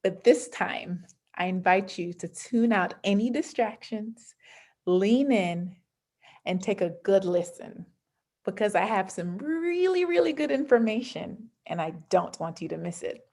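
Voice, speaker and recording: A woman presents online in a mid-pitched voice. She is emphatic and engaging, addressing her listeners directly as a guest speaker on a video call. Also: expressive, measured pace, a little mellow. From born in Jamaica, grew up in Georgia, USA. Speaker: pediatric dentist, guest speaker. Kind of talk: prepared, interactive online presentation. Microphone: computer on a video call.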